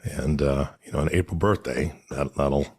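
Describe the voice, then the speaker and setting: A man in his late forties co-hosting a podcast, upbeat and bantering, his voice low and worn from illness. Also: moderate pace, a little mellow, slightly rough, dry recording, studio quality. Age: 40s. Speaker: podcast co-host. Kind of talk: casual podcast chat.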